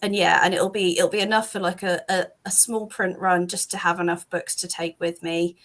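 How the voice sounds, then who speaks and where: A British woman talking casually about her comic on a call, rambling with lots of ums and restarts, a bit hoarse from losing her voice. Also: lowish voice, fairly even intonation, moderate pace, fairly bright tone. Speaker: comic creator guest. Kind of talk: casual chat on a video call.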